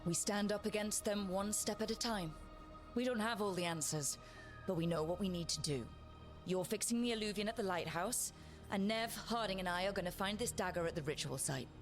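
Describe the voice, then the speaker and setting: An actress playing a steady, resolute young party leader in a fantasy game, with a plain, earnest, decisive delivery. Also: female voice, medium pitch, brisk, music in the background, lightly acted.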